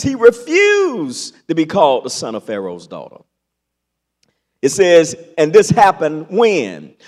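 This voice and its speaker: High-pitched, slow, animated preacher man. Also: long reverb tail. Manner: preaching with dramatic pauses and rising emphasis. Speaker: pastor. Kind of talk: sermon. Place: large church sanctuary.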